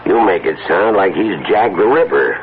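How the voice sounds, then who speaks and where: An actor playing a skeptical, weary police officer in a radio drama, with a dry, sarcastic, dismissive way of talking. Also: male voice, deep voice, lively intonation, moderate pace, phone-quality sound. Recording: noisy, band-limited old radio broadcast.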